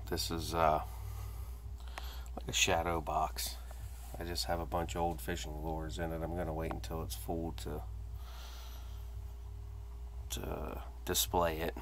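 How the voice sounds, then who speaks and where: Low, slow-talking man showing his workshop. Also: slight background noise. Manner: plain, matter-of-fact listing with hesitant fillers. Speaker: hobbyist giving a tour of his fishing workshop. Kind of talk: casual walkthrough narration. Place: cluttered home workshop indoors. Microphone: handheld phone camera held by the speaker.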